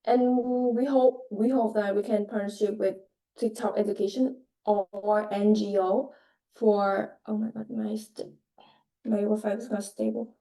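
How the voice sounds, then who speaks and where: A young woman giving a project presentation in halting, hesitant English. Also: mid-range voice, little pitch movement, gravelly, dark tone. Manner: halting, hesitant phrasing in non-native English. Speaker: student presenter. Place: video call. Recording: roomy, distant mic on online call.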